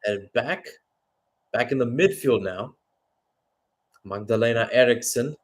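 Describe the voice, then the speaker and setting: Male football play-by-play commentator, mid-pitched voice, radio-style live call with conversational, self-correcting analysis. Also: measured pace.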